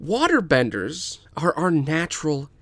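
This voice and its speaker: A brash young firebender bully who jeers and goads, his voice pushed higher and loud, as a man reads him out. He bounces through a lively, animated range. The sound is dry and close. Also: a little slow, dry recording, full character voice. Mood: taunting. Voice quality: loud, jeering.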